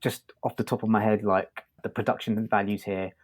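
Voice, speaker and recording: Low-voiced man chatting on a podcast. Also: flat delivery, a little fast. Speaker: podcast host and reviewer. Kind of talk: casual review chat.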